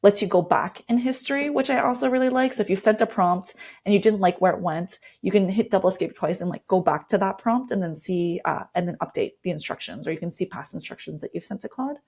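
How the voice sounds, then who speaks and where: A woman's voice: medium pitch, quick pace, hardly any pauses, darkish tone, husky. Woman explaining things conversationally. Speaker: interview guest, design lead. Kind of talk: conversational explanation, tips walkthrough.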